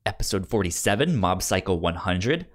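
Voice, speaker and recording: A man's voice: low voice, very animated, moderate pace. A man hosting a podcast in casual review chat, rambling a little as he thinks aloud. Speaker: podcast host.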